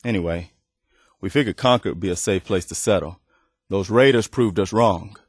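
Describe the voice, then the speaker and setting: Low-voiced, earnest militia leader. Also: male voice, normal speed, slightly rough, dry recording, clean studio sound. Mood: resigned, hopeful. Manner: earnest, plainspoken. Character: weary, earnest male militia leader protecting refugees after an apocalypse. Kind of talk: video game companion dialogue.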